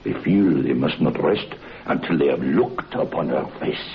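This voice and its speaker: An actor playing a grave Frenchman in a radio horror play, speaking haltingly and ominously. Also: a bass voice. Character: grave Frenchman recounting an evil woman's ghostly legend. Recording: muffled, noisy old radio recording.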